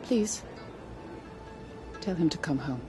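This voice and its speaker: A woman's voice: low-pitched, expressive, slow, over music. An actress playing a worried, bitter townswoman in a video game, urgent and plaintive. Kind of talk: dramatic video game acting.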